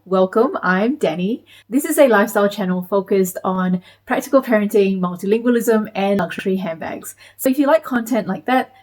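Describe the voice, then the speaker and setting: A woman in her thirties from Malaysia, talking to camera in a measured, explanatory way as a parenting vlogger. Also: medium pitch, a little mellow. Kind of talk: scripted talk to camera.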